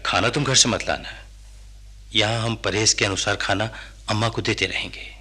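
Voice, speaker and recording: An authoritative, fatherly doctor in a radio play. His voice is lowish, very sharp and forceful, with a husky grain, and he instructs as to a child. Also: steady tone, moderate pace. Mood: grave, firm. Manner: instructive, slightly patronising. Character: brisk, paternal, authoritative hospital doctor. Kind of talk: radio drama acting.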